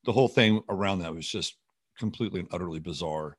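A man's voice: deep voice, quick pace, slightly rough. A man hosting a show with rambling, skeptical, sardonic and often profane unscripted political commentary.

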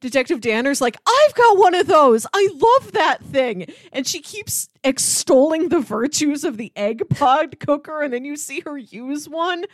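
A woman co-hosting a podcast in casual chat, telling things in an animated way and often laughing as she talks. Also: high voice, unhurried, hardly any pauses, no echo, clean studio sound. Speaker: podcast co-host.

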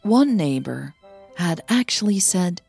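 A woman narrating a scripted audio story as a podcast host, with measured, deliberate phrasing. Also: on the low side, very animated, creaky, a little mellow, husky, soft background music.

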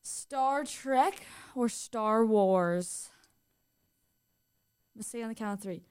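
A woman's voice: on the high side, slow, a little mellow, clear, resonant tone. A young woman from Southern California co-hosting a podcast in casual banter, playful, informal and slangy. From Southern California, USA. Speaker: podcast co-host.

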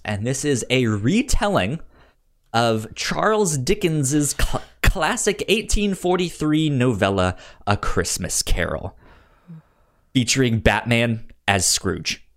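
A man co-hosting a podcast in a casual chat, speaking haltingly and stammering over words as he presents ideas. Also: medium pitch, lively intonation, fairly bright tone. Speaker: podcast co-host. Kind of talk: casual comics pitch chat.

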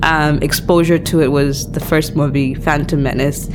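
A speaker in their thirties from Malaysia, chatting casually as a co-host, hesitant, with many fillers and quick laughs. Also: medium pitch, music in the background. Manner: hesitant, lots of fillers and restarts, easy laughter. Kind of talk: casual conversation. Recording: noisy recording with steady hiss.

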